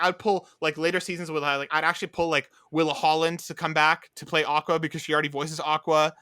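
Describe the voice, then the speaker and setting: A man's voice: fairly high pitch, bright, forceful tone, no room sound, clean studio sound. A man rambling through a casual podcast chat as co-host, full of filler words.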